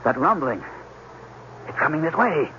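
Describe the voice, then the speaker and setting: A man's voice: a little high, sing-song, normal speed, pushed and bright. An actor in a radio drama playing an earnest, urgent scientist looking after his crewmate. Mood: tense. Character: steadier male scientist helping his injured crewmate, awestruck. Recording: noisy, muffled old radio broadcast.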